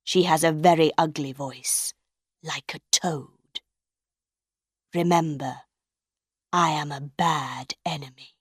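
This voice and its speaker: A woman reading a storybook aloud and voicing a hidden male phantom, slow, hushed and threatening. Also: sits low, steady tone, husky, no echo, clean studio sound, pitched below the performer's own voice, exaggerated voice. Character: menacing hidden male phantom, low and cold.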